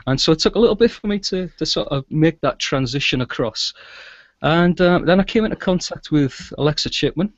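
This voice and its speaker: A man's voice: fairly high pitch, expressive, fairly quick, no echo, dull audio. A middle-aged man chatting casually as an interview guest, in a chatty manner, over a video call. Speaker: guest, audio drama producer and sound engineer. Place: small indoor room with shelves, video call.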